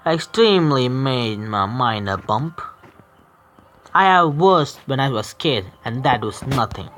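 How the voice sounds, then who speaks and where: A man streaming a visual novel game, reading the narration aloud haltingly, stumbling over words and correcting himself. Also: medium pitch, lively intonation, a bit bright, slight background noise.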